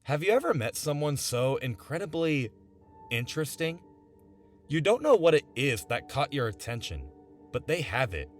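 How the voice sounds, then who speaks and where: A man's voice: fairly high pitch, very animated, normal speed. A young man narrating a scripted video essay in an earnest, emphatic, conversational way. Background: background music.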